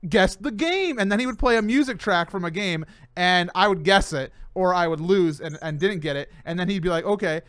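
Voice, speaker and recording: Lively high-voiced man hosting a chat. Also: fairly quick, hardly any pauses, smooth, no echo, clean studio sound. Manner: animated, stammering restarts. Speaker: host. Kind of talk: casual podcast chat.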